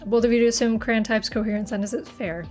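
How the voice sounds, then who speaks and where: Woman, young adult, from Massachusetts, higher-pitched, casual giggly chat, faint background music. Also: steady tone, fast talker, darkish tone. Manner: chatty, giggly, trails off and restarts. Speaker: streamer. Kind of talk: casual chat reading live chat. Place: her apartment.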